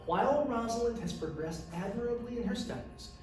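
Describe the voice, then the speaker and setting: A man's voice: very high-pitched, lively intonation, a little fast, a little mellow, voice pushed up. A man voicing a composed, diplomatic woman who heads a collegium in a storybook reading, courteous and firm. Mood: measured, firm. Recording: echoey room, distant mic, faint noise.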